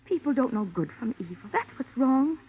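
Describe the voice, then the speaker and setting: A woman's voice: sits high, very animated, brisk, breathy edge, exaggerated voice. A woman with an American accent playing a naively devoted, defensive wife in a vintage radio drama, earnest and emotional. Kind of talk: 1950s radio drama acting. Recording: dull, lo-fi old radio recording.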